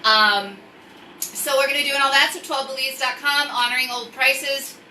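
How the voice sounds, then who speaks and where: Woman, very bright forceful voice, casual rambling livestream host, echoey room, distant from the mic. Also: sits high, moderate pace, a little hiss or hum. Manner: rambling, self-interrupting, animated asides. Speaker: online storytime host and craft seller.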